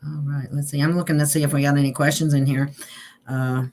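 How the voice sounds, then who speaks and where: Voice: medium pitch, little pitch movement, a little fast, darkish tone. Chatty, laughing online show host. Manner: rambling, often laughing. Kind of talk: casual online chat.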